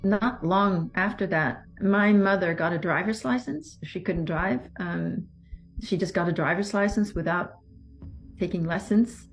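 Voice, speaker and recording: Soft-spoken woman, low voice. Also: soft background music, low-quality recording. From Belgium. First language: French. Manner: measured, halting, with pauses and restarts. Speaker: survivor giving testimony. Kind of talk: personal testimony interview.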